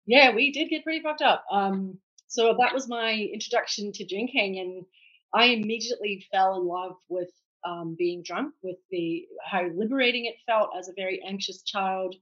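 A woman's voice: medium pitch, moderate pace, fairly bright tone, lo-fi sound. A Scottish woman in her forties, an author telling her story candidly and reflectively in a podcast interview. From Scotland. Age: 40s. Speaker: author guest.

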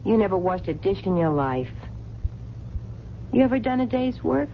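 Brassy late-night waitress, wisecracking but kind, very lively pitch, dull old radio recording. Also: female voice, moderate pace, a little hiss or hum. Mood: brisk, dismissive. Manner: streetwise, wisecracking, colloquial. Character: brassy, soft-hearted, talkative late-night diner waitress. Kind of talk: 1970s radio drama acting.

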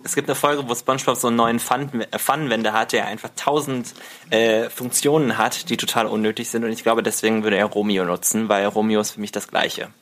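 A man's voice: lowish voice, steady tone, brisk, a bit bright. A man chatting casually as a podcast guest, giggly and informal, with English slang mixed in. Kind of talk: casual chat.

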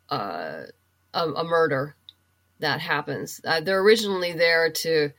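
A woman with a fairly low voice chats as a guest in a casual interview. She talks freely and informally, pausing and restarting as she gathers her thoughts. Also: pushed and bright. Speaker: guest, comic book writer and novelist. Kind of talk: casual interview chat, giving reading recommendations.

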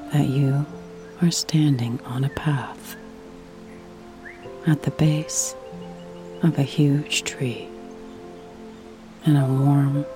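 A woman guides a sleep meditation in a soft, breathy, mellow voice. She speaks very slowly and soothingly, with long pauses. Faint music plays underneath. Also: low voice. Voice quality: soft, breathy, near whisper at times.

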